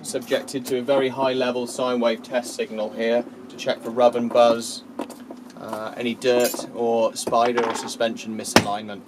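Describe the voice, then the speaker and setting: A man in his thirties gives an informal technical explanation as a designer, matter-of-fact with hesitant pauses. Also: mid-range voice, little pitch movement. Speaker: loudspeaker transducer designer. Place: small indoor workshop test room. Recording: loud background hum and workshop noise.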